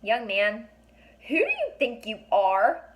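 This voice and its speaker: A woman's voice: on the high side, wildly swooping pitch, takes it slowly, fairly bright tone, slight background noise, exaggerated voice. A woman acts out a fairy council member in a children's tale. The delivery is formal and severe, that of someone stern who expects to be obeyed. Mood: affronted.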